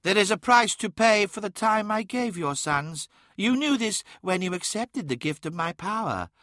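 Ancient demon god, high and taunting. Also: male voice, animated, normal speed, pushed and bright, no room sound, studio quality. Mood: taunting, menacing. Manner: grandiose, taunting, commanding. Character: ancient boastful demon god speaking from a shattered mirror.

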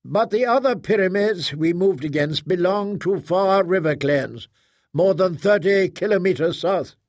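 A man's voice: sits high, unhurried, a little mellow, no room sound, studio quality, pitched above the performer's own voice. A man voicing a confident, formal military-religious leader in an audiobook, with declamatory phrasing. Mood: assured, explaining.

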